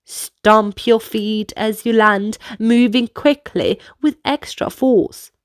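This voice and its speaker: A woman's voice: a little high, fairly even intonation, takes it slowly, dry recording, studio quality. A woman narrating a children's storybook and guiding young listeners through dance movements in an instructive manner. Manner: instructive, clearly paced delivery for young listeners.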